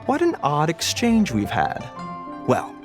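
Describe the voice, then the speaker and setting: An actor playing a genteel, sly doctor and merchant in a video game, courteous, silky and insinuating. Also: male voice, a little high, huge pitch swings, slow, full character voice. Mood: polite slyness. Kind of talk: video game dialogue acting. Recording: music playing behind. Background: background music.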